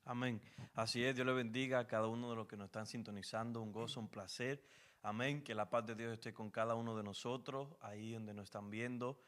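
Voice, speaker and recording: A man's voice: medium pitch, fairly even intonation, brisk, a bit bright. A young man, a guest on a church program, speaking earnestly with frequent amens and hesitations. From Honduras. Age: young adult.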